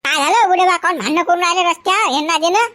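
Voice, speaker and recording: A man's voice: very high voice, pushed and bright, no echo, studio quality, pitched above the performer's own voice. A man voicing a nosy young male passerby, who keeps asking questions. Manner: prying, rapid-fire questioning.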